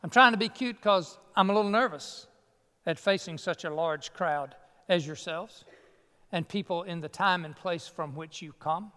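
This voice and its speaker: Here is Martin Luther, voiced by a pastor, an earnest old monk speaking with a Southern US accent. The voice sits high and smooth, folksy and self-deprecating. It rings through a church sanctuary with a long reverb. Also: male voice, moderate pace, sharp tone. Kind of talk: costumed sermon monologue.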